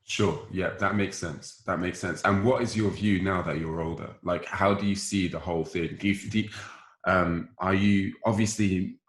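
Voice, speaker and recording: Male discussion host, very low voice, halting and probing, casual, reverberant pickup. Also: fairly even intonation. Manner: halting, probing questioner who rephrases as they go. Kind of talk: casual group discussion moderating. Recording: roomy, echoing voice pickup.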